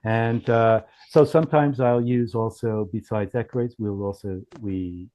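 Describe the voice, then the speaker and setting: A man giving a casual software demonstration in a halting way, thinking aloud as he goes. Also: lowish voice, steady tone. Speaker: company founder demonstrating software. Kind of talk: casual product demo.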